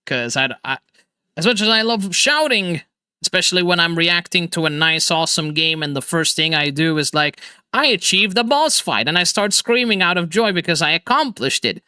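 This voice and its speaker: A man hosting a casual video chat stream, energetic and laughing often, with quick restarts. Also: a little high, clear, resonant tone, no room sound. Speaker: streamer and host.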